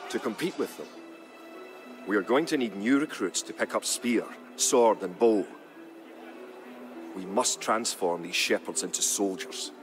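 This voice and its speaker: A grave clansman of the Middle Ages, acted by a man tells of war in a low voice. He is resolute and solemn, with measured, dramatic storytelling suited to a strategy game. Music plays clearly behind him. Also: natural voice. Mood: grim. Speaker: campaign narrator. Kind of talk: dramatic scripted storytelling narration.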